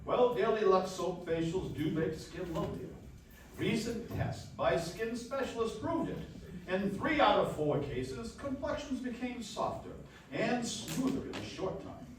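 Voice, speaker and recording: A man's voice: sits high, very animated, measured pace, a little hiss or hum. A man playing a polished, genial 1940s radio announcer in a live stage radio play, with formal, projected delivery. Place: live stage performance in a dance hall. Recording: echoey hall, distant mic, live stage.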